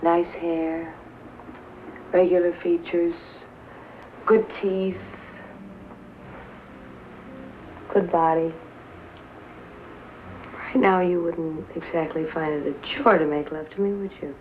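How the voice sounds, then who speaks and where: An actress playing a tough, bitter young nightclub singer who drinks, with an American accent and a sardonic, drawling delivery, in a 1950s film drama. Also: female voice, on the low side, steady tone, slow, pauses often. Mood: frank, challenging. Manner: sardonic, drawling, dwelling on and repeating words. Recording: tinny, hissy 1950s film soundtrack.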